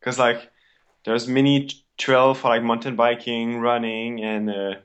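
A man in his twenties from Quebec with a Québécois French accent, a native French speaker, speaking as a guest in a relaxed, halting way with fillers. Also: mid-range voice, lo-fi sound. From Quebec, Canada. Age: 20s.

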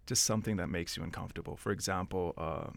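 A man's voice: low-pitched, fairly even intonation, fast, gravelly, no echo. A man in his thirties from Canada, making wry asides in a casual film discussion. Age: 30s.

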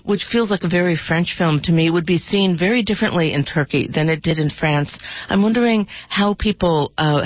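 A woman's voice: fairly low pitch, no echo. A woman hosting a conversational radio interview, feeling her way through her questions. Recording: slightly dull radio recording.

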